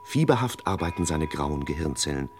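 An actor playing a pompous, deadpan male narrator of a parody detective thriller in an old radio play. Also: extremely low pitch, a little fast. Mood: mock-dramatic. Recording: noisy old radio recording with clicks.

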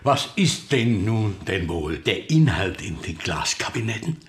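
A man acting in a German radio play as a peevish, gossipy gentleman guest, awkwardly polite with dry asides. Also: lowish voice, big pitch swings, a little slow, slight background noise. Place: studio radio production.

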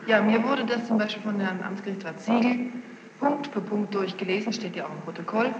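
A woman's voice: medium pitch, a little fast. A young woman giving courtroom testimony as a witness, defensive and insistent, often repeating herself. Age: young adult. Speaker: witness under questioning. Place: large reverberant courtroom. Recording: muffled, noisy archival courtroom tape, echoing hall.

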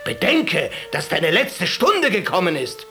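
A man voicing a proud, wrathful caliph in a told tale, shouting commands with scorn. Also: sits high, wildly swooping pitch, a little slow, faint music behind, pitched above the performer's own voice, heavily acted. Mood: imperious anger.

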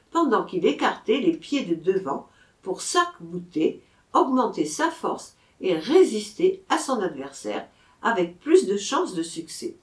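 Slow, expressive woman storyteller in echoing room. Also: mid-range voice. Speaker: audiobook narrator. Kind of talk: expressive literary story narration. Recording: roomy, echoing room sound.

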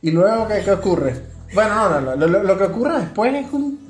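A woman's voice: medium pitch, big pitch swings, brisk, darkish tone. A woman chatting casually as a podcast co-host, prompting with questions and interjections. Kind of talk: casual conversational podcast chat. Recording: roomy echo with music behind.

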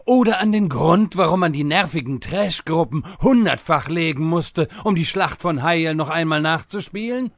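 An actor playing a bumbling, impatient time-travelling wizard who stammers and rambles in a comedic audio drama. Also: male voice, on the high side, expressive, normal speed, few pauses, no room sound, clean studio sound. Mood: exasperated.

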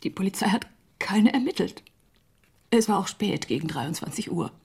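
An actress playing a grieving, doubting woman in a radio drama, speaking haltingly with a breathy, husky voice. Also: mid-range voice, animated. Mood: subdued, insistent. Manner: hesitant, halting, hushed. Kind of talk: naturalistic radio drama acting.

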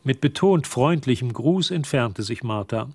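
A man's voice: mid-range voice, animated, a little slow, darkish tone, slightly rough, no echo. A man narrating a radio drama as a composed storyteller, reading scripted prose close to the microphone. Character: composed male storyteller of a mystery tale. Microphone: close, dry studio microphone.

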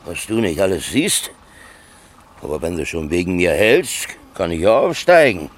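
Gruff, very deep-voiced stubborn old man. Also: sing-song, normal speed, slight background noise. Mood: gruff, bossy. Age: older. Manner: gruff, terse, contrary. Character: stubborn, grumbling old village man, proud and contrary. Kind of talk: naturalistic radio drama acting.

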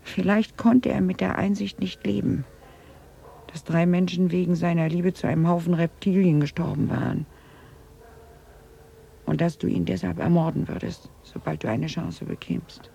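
An actress playing a haunted middle-aged woman in a German radio drama, confiding grave family guilt in a halting, husky voice. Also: fairly low pitch, steady tone, warm tone, heavily acted. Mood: haunted, confessing. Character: haunted Dutch woman confessing her family's wartime guilt. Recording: slightly dull 1980s radio recording.

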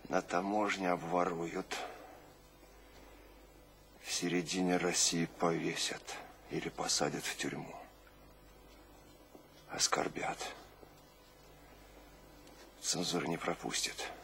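An actor playing a despairing, brooding poet weary of life, speaking heavily in an anguished, sometimes hushed dramatic audio play delivery. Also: male voice, low voice, vocal fry, a little hiss or hum, heavily acted. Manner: slow, heavy, anguished delivery with hushed passages. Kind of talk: dramatic audio play monologue and verse recitation.

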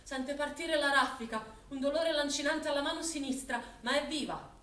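An actress playing a solemn narrator of a wartime tragedy, with measured, urgent theatrical delivery. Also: female voice, high-pitched, fairly bright tone, recorded from a distance. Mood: grave, urgent. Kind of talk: dramatic scripted stage narration. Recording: echoey, slightly noisy, off-mic recording.

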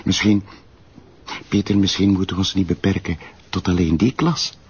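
A Flemish man playing a thoughtful, tactful police deputy in a TV crime drama, speaking tentatively and deliberately. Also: low voice, steady tone, highs cut off, slight background noise. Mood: pensive.

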